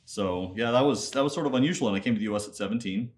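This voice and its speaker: A man's voice: medium pitch, very fast. A man in his thirties from Nepal with an American accent, telling personal stories as an interview guest in a reflective, conversational way. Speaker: interview guest, MBA student and entrepreneur.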